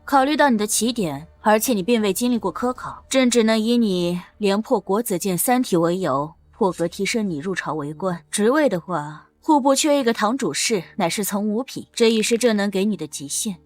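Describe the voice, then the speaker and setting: A woman voicing a shrewd middle-aged empress and protective mother in a Chinese period drama, speaking in a measured, imperious way. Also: medium pitch, animated, a little mellow, close to an everyday voice. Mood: stern, authoritative.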